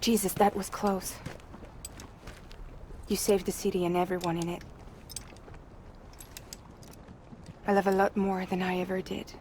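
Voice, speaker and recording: A woman's voice: medium pitch, flat delivery, moderate pace, a little mellow, a little hiss or hum, lightly acted. A woman acting a determined, anguished young courier, urgent and defiant, in a cinematic video game. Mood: tense.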